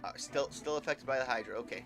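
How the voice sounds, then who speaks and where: A man's voice: medium pitch, fast talker, over music. A man giving casual live commentary as a game streamer, often stammering and repeating words as he thinks aloud.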